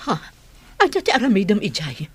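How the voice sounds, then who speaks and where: A woman's voice: medium pitch, wildly swooping pitch, brisk, husky. An actress playing a sly, scheming stepmother in a radio drama. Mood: conniving. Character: scheming stepmother, adult woman.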